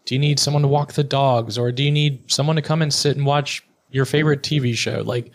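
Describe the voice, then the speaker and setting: A man's voice: medium pitch, fairly even intonation, brisk. A middle-aged man speaking frankly and bluntly in a conversational interview, now and then swearing. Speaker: podcast guest, therapist and entrepreneur.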